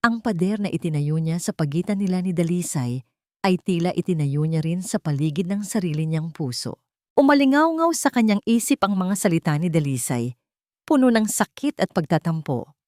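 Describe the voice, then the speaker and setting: A woman's voice: fairly low pitch, sing-song, normal speed, darkish tone, no echo, studio quality. A Filipino woman narrating a scripted romantic drama as a storyteller.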